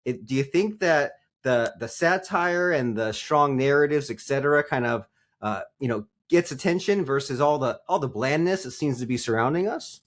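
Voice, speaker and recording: A man's voice: mid-range voice, animated, moderate pace. A man hosting a podcast interview, asking long rambling questions full of "like" and "you know". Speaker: podcast host. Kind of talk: casual interview chat.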